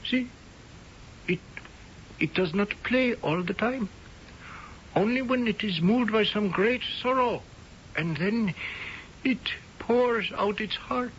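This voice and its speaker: Solemn servant, high pitch, very slow halting delivery, obsequious, radio play. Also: male voice, fairly bright tone, a little hiss or hum. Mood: solemn, deferential. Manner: halting, obsequious, flowery address. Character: deferential Indian manservant, superstitious and solemn. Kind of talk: radio drama acting. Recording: dull old radio recording, faint music.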